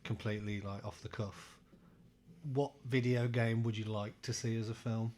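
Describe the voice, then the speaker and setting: A man's voice: on the low side, little pitch movement, normal speed, darkish tone, slight background noise. A man chatting casually about films with friends as a podcast co-host. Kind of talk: casual film chat among friends.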